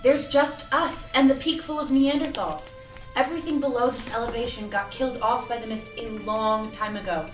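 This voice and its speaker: A woman's voice: a little high, fairly even intonation, moderate pace, close to an everyday voice. A woman acting a feisty, sarcastic and protective young woman in an audio drama read-through, with an exasperated, bossy manner. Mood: skeptical concern. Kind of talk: acted reading of an audio drama script. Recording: roomy, distant mic, music underneath.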